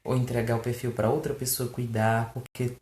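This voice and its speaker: A man with a Brazilian Portuguese accent talks straight to a phone camera, lively and exasperated, with mocking asides. His voice bounces around an echoey room. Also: medium pitch, a little fast. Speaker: fan page host answering questions. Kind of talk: casual chat to camera. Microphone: phone recording.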